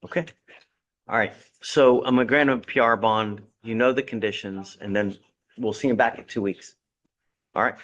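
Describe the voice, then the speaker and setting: A man's voice: lowish voice, moderate pace, fairly bright tone, muffled recording. A man serving as judge in a courtroom, lecturing a defendant from the bench with blunt sarcasm and folksy sayings.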